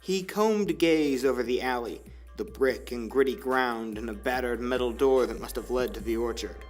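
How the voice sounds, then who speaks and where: A man's voice: medium pitch, normal speed. A man reading scripted story narration for an audio drama. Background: faint music.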